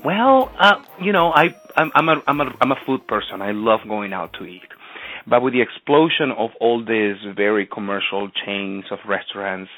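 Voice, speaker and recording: A man's voice: lowish voice, animated, normal speed, bright, forceful tone, no echo, faint music behind. A man from Venezuela, a chef and restaurant owner, talking earnestly and eagerly as a guest on a phone-in radio interview, often restarting his words. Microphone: phone line. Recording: tinny phone line, dry.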